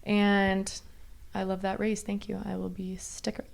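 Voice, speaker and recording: A woman's voice: medium pitch, monotone, unhurried, vocal fry. A young woman co-hosting a podcast, chatting in a bubbly way and often calling to the listeners. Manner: bubbly, chatty, says "you guys" a lot. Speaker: podcast co-host. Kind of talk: casual chat.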